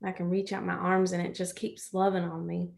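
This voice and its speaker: An American woman playing a gentle, chatty rural girl in a script reading, with folksy phrasing and a playful, comforting manner. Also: lowish voice, level tone, brisk, gravelly. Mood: soothing.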